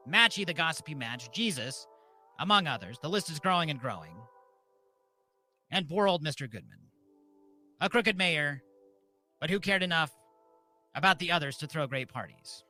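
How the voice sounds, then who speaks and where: Bright-voiced man, deadpan mock-solemn officiant. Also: a little high. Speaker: host and mock officiant of a comedy live show. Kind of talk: mock-solemn scripted ceremonial sermon.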